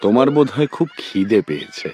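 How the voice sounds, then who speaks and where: An actor playing a strict, principled guru in a cartoon, lecturing in a formal, measured and stern manner. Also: male voice, lowish voice, very animated, dark tone, slight background noise. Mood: calm. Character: strict, principled old male guru of a forest school. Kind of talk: dubbed cartoon acting. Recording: music behind the voice.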